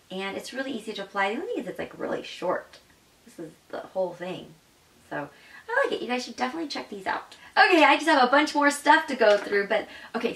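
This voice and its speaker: A woman's voice: mid-range voice, a bit bright, far from the mic. Young woman beauty vlogger, bubbly and gushy, roomy home recording, set back from the mic. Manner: bubbly and gushing, demonstrating makeup while talking. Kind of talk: casual product review. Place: home makeup room indoors.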